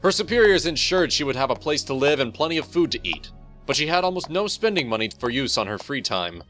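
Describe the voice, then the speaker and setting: Animated male story narrator. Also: a little high, fast talker. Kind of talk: expressive scripted audiobook story narration. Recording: music playing behind the voice.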